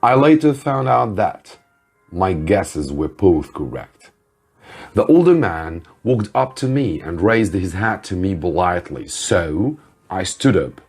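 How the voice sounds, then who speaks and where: A man narrating a story as an English teacher, phrasing it carefully and deliberately with frequent pauses. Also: deep voice, very animated, vocal fry, a little mellow, husky. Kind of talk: slow scripted story narration for learners. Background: music behind the voice.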